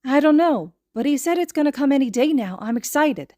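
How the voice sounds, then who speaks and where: Storybook role: innocent, earnest small boy, played by a woman, high, chirpy, keen. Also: animated, fairly quick, dark tone, no room sound, clean studio sound. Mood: excited. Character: eager, sweet, innocent little boy.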